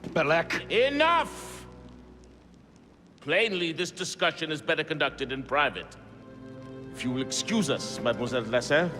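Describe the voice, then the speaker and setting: Male council leader: commanding, formal, theatrical, lively intonation, ringing in a large hall. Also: on the high side, normal speed, long pauses. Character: authoritative, pragmatic leader of an assassin council. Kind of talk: dramatic video game acting. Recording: echoey hall with faint music.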